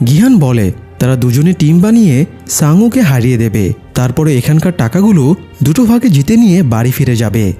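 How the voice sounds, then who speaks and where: Husky, lively male narrator. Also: on the high side, moderate pace. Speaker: movie recap narrator. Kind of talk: scripted plot narration. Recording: music behind the voice. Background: background music.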